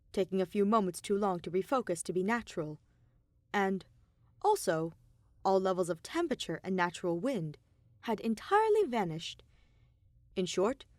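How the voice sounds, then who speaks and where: Animated woman narrating a story, dry sound. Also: mid-range voice, dry recording. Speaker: fan fiction podcast reader. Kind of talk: expressive scripted story narration.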